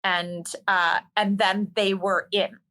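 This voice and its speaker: A woman's voice: mid-range voice, flat delivery, slow pace, sharp tone. A woman, a stage actor and singer, chatting casually as an interview guest, with plenty of ums and restarts. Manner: chatty, many fillers and restarts. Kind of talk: casual conversational storytelling.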